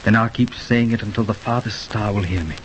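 A confident young English army captain, played by a man in an old radio play. His voice sits low and he sounds breezy. A faint hiss lies under the old recording. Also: fairly quick, close to an everyday voice, rough recording. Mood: tender. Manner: breezy, dismissive, tender with his sweetheart. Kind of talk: 1930s radio drama acting.

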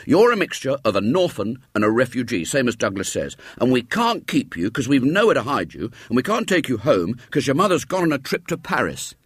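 A man's voice: medium pitch, very animated, a little fast, hardly any pauses, slightly rough, dry recording, clean studio sound, voice pushed up. A man voicing a blunt, scornful schoolboy gang leader who is easily exasperated, in a children's storybook reading. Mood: firm.

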